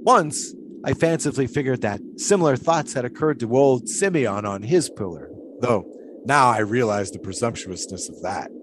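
A man's voice: medium pitch, animated, normal speed, background noise, close to an everyday voice. A man playing an eccentric, devout 1920s pole-sitter in an audio drama, musing in folksy, old-fashioned storytelling phrases. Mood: awed, reverent.

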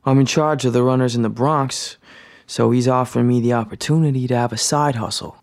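An actor playing a brash young numbers hustler in a period radio drama, with a gravelly voice and offhand, slangy talk. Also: male voice, medium pitch, fairly even intonation, brisk, a little mellow, no echo, studio quality, lightly acted. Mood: offhand, evasive.

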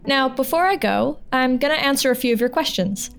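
A woman's voice: sits high, animated, fairly quick, creaky, clean tone. A woman hosting a podcast, talking in a chatty, informal and reassuring way. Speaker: podcast host and audio drama producer. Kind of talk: casual podcast talk over music. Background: plucked guitar music.

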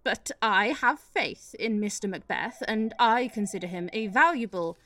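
A woman playing a harried, sweary in a radio play, with an exasperated, halting politician's manner. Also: mid-range voice, lively intonation, bright, forceful tone, dry recording. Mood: guarded, diplomatic. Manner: exasperated, stammering politician's hedging. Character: harried, sweary British Prime Minister, a woman.

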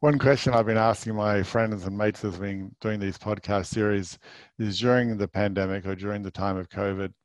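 A man's voice: lowish voice, fairly even intonation, normal speed, no echo. A man hosting a travel podcast, asking friendly conversational questions in an interview over a call. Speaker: podcast host, travel agent.

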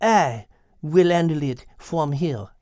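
A man voicing a calm, matter-of-fact, elegant female cat. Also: a little high, slow pace, no room sound, clean studio sound. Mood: calm, firm.

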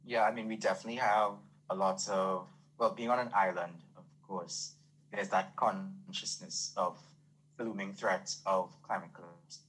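A man's voice: low voice, fairly even intonation, normal speed, a bit bright, husky, poor audio. A young man with a Trinidadian accent, speaking earnestly and fluently with many fillers as a guest in an interview. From Trinidad and Tobago. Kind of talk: casual interview talk.

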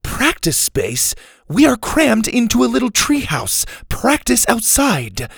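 A man's voice: very high voice, wildly swooping pitch, slow, creaky, fairly bright tone, breathy edge, no room sound, studio quality, pitched above the performer's own voice, exaggerated voice. A man doing a snappy irritable tinkerer. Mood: annoyed.

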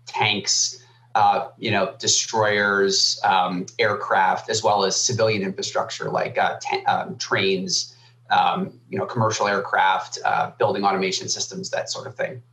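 A man's voice: lowish voice, little pitch movement, a little slow, a bit bright, slight background noise. Thoughtful man in an echoey room. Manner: thoughtful, hedging, many fillers. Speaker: guest, former army cyber officer. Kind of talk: casual podcast discussion.

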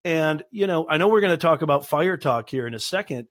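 A man co-hosting a casual live stream, slightly hoarse and raspy from a cold, chatty and joking. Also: on the high side, lively intonation, a little fast, a bit bright, dry recording, studio quality. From Southern California. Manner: joking, chatty, laughs often. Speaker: co-host.

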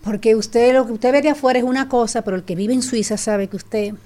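A middle-aged Dominican woman with a Dominican Spanish accent, telling her story as a guest in an animated, casual way with frequent restarts. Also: on the high side, fairly quick. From Dominican Republic. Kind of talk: casual chat.

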